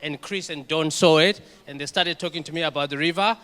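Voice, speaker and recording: A man's voice: on the high side, fairly quick, sharp tone, clear, resonant tone. Distant man telling his story in a hall.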